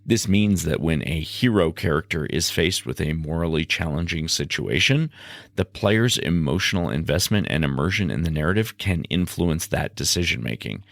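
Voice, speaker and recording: A man hosting a podcast, delivering scripted explanatory narration in a measured, formal, lecture-like way. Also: a bass voice, animated, gravelly, a bit bright, no room sound, clean studio sound. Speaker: podcast host; game master.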